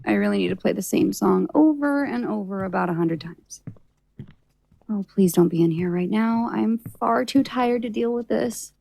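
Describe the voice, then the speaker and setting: Creaky-voiced, weary young woman. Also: mid-range voice, normal speed, warm tone, no room sound, rough recording. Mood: tired. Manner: dry, exasperated. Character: weary, exasperated young woman, dryly sarcastic with her friends. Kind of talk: audio drama acting.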